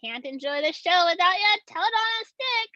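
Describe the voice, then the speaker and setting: A woman's voice: extremely high pitch, lively intonation, normal speed, pushed and bright, no room sound, heavily acted. A woman playing an old granny hawking snacks like a street vendor, in a comedy script table read. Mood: eager hawking. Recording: dull lo-fi microphone.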